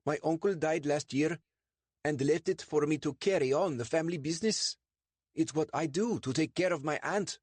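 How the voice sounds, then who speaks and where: A man voicing a nervous, excitable truck owner who pleads and protests in a raised voice. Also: a little high, normal speed, no echo, pitched above the performer's own voice, lightly acted. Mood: protesting.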